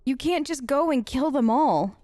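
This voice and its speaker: A woman's voice: high voice, animated, moderate pace, dark tone, no echo. A woman doing casual, improvised role-play commentary as host of a game let's play, informal and outspoken.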